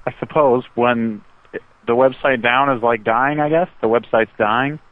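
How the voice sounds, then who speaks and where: A man's voice: medium pitch, fairly even intonation, unhurried, dry recording. A man working as a customer support agent, patiently and hesitantly explaining over the phone. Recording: narrow, tinny phone line.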